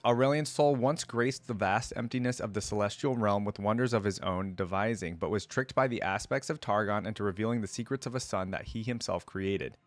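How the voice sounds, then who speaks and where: A man's voice: medium pitch, no echo. A man hosting a reaction video, switching between casual, rambling commentary and reading text aloud. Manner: informal, rambling asides. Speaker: host of a game lore reaction channel.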